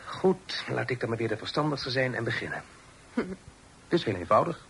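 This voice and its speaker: Period radio drama: well-bred young brother, mid-pitched, very expressive, mock-stern banter. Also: male voice, moderate pace, vocal fry, husky, exaggerated voice. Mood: defensive, amused. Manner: mock-pompous, bantering, old-fashioned phrasing. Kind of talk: Dutch radio play acting. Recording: noisy old radio drama recording.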